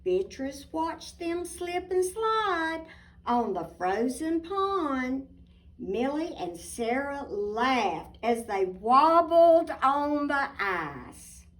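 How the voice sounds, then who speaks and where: A woman reading a picture book aloud expressively, deliberate and emphatic, with little asides to young listeners. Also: sits high, very animated, fairly bright tone. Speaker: children's storybook reader, teacher. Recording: distant microphone.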